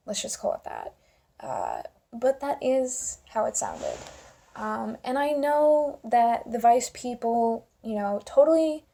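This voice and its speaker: A woman's voice: fairly high pitch, dark tone. A young woman giving her opinions casually in a vlog, with an informal, wry way of talking. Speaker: video commentator.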